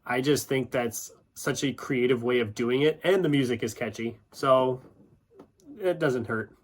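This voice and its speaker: A man talks casually to his viewers as a channel host. His voice sits at an average pitch. He speaks informally, with self-deprecating asides. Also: unhurried. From United States, Iowa. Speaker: YouTube book channel host. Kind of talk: casual chatty vlog, answering questions.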